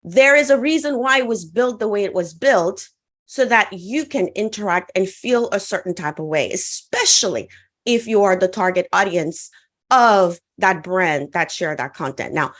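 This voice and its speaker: A woman's voice: mid-range voice, lively intonation, normal speed, a bit bright. A woman from the Caribbean whose first language is French, giving an online conference talk in an upbeat coaching manner and often asking the audience to answer in the chat. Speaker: conference speaker.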